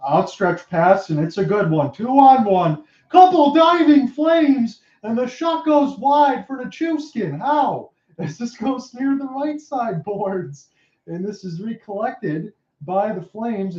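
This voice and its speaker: A man calling hockey play-by-play, his calls rising sharply and quickly on scoring chances. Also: high-pitched. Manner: rapid excited calls that rise sharply on chances. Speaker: play-by-play announcer. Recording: dull lo-fi roomy home mic.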